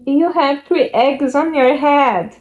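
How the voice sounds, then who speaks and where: A woman's voice: sits high, takes it slowly, a little mellow. A woman voicing a cheerful, matter-of-fact little pig friend from a picture book, explaining things plainly. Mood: reassuring. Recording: muffled lo-fi home recording, background noise.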